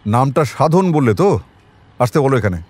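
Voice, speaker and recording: A man's voice: medium pitch, sing-song, moderate pace, a little mellow, slightly rough, dry recording. A man playing a shrewd, commanding detective in an audio drama, speaking tersely with authority. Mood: probing, commanding. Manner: terse, authoritative, dryly probing.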